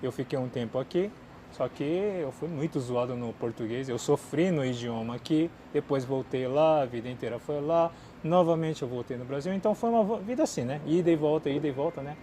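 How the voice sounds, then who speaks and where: A Japanese-born man, a non-native speaker, chats loosely with a fairly high, mellow voice. He fills his talk with little fillers and swings between bright bursts and low mutters. Faint outdoor noise sits under him. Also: a little fast. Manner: chatty, many fillers, drifts between lively and mumbling. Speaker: channel host answering viewer questions. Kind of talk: casual chat. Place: outdoors in a city.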